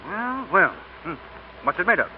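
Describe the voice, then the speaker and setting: A man acting a genial, indulgent, measured army captain in a 1940s radio drama. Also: high-pitched, sing-song. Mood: curious. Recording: dull, faintly noisy old radio recording.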